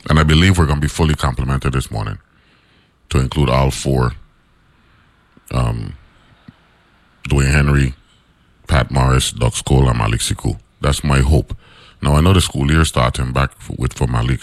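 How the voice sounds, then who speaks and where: Radio host from the Virgin Islands: very deep baritone man, dry close studio sound, loose conversational monologue. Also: moderate pace, long pauses, no room sound. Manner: conversational, many fillers and "right?" tags. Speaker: radio talk show host.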